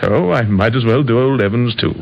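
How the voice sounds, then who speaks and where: A man's voice: mid-range voice, fairly quick, vocal fry, lightly acted. An actor playing a cheerfully deranged, smugly proud killer in an old radio drama, chatting breezily as he confesses. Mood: gleeful pride. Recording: muffled, hissy old radio recording.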